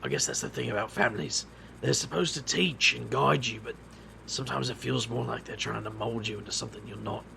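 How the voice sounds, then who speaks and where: A weary, brooding man played by a voice actor speaks in a very gravelly, creaky voice. His delivery is wry and bitter, as in a noir-like audio drama, with faint noise behind him. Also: medium pitch, a little fast, bright, forceful tone. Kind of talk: audio drama narration and dialogue.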